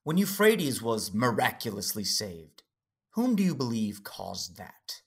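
Measured, enigmatic man with a husky, breathy edge, sci-fi audio drama. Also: fairly low pitch, big pitch swings, exaggerated voice. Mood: measured, persuasive. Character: cryptic, patient man sparring with a Jedi.

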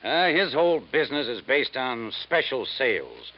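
A businesslike insurance man in a 1950s radio drama. He explains things briskly and plainly, a little defensively, at a medium pitch. The recording is dull and vintage. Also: big pitch swings. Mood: matter-of-fact. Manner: brisk, matter-of-fact, explanatory.

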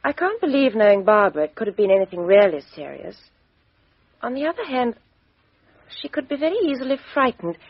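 A woman playing a poised, frank young woman in a 1950s radio drama, crisp and emphatic, with a husky voice on a dull old recording. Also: a little high, very animated, fairly quick, no room sound, exaggerated voice. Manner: crisp, emphatic well-spoken delivery.

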